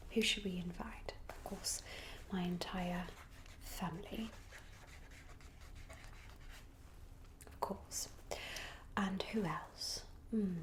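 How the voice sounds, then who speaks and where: A woman voicing a genteel, gossipy Regency lady in a soft ASMR roleplay whisper, musing politely and hesitantly. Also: medium pitch. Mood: musing, curious. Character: genteel, gossipy young Regency lady planning a matchmaking guest list.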